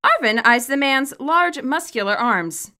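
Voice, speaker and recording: A woman reading her own fan fiction aloud as expressive, scripted story narration. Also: sits high, a little slow, smooth.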